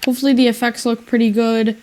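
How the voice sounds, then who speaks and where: A woman's voice: fairly high pitch, flat delivery, fast talker, darkish tone, a little hiss or hum. A woman narrating her video editing in a casual, rambling way, thinking aloud and exclaiming to herself. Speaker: video editor and creator narrating her screen work.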